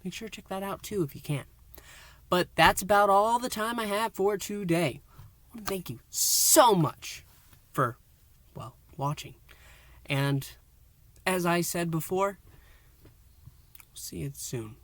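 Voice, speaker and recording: A man's voice: high voice, measured pace, long pauses, fairly bright tone, no room sound. A man hosting a podcast, giving a casual solo review of an audio drama and thinking aloud with frequent restarts and asides.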